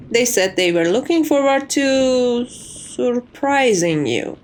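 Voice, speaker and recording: A woman's voice: medium pitch, slight background noise, close to an everyday voice. A woman playing a composed, strict but fond chief maid in an ASMR roleplay, speaking formally and with polite condescension.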